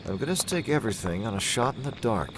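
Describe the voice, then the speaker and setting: Deep voiced old-time radio mystery hero, calm n commanding, hypnotist detective type, noisy background. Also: male voice, fast talker. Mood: determined, confiding. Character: calm, shrewd male crime-fighting detective with hypnotic powers. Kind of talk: 1940s-style radio drama acting.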